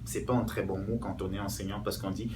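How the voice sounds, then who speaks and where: A man's voice: lowish voice, level tone, quick pace, a little mellow. Distant man. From Cameroon. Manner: thoughtful, hesitant, many fillers and restarts. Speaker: interviewee, doctoral student. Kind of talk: interview answers. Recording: echoey room, distant mic, noise.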